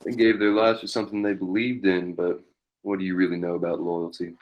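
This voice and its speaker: Guy playing mean old-west gang boss, deep voice, taunting n deliberate, bit of background noise. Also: little pitch movement, muffled recording, natural voice. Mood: taunting, cold. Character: Mitch, menacing outlaw gang leader and former cavalry captain. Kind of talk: western table read.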